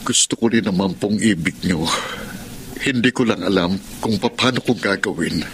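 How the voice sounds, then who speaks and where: Low-voiced, remorseful Filipino radio drama father actor. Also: moderate pace, a bit bright, a little hiss or hum, lightly acted. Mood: hurt. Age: middle-aged. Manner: anguished, pleading, submissive. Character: remorseful, troubled father trapped in shady work. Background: music.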